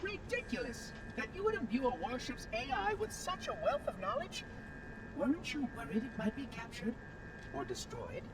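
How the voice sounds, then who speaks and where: Prissy machine caretaker, very high and lilting, robotic, distant and echoing over loud noise. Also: very animated, normal speed. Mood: indignant. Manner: pompous, prissy, florid. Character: fussy, pompous, gleeful robot caretaker. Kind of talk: theatrical video game voice acting.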